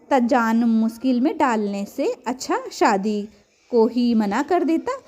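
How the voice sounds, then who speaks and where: A woman's voice: sits high, dark tone. A woman reading a scripted story aloud as a narrator.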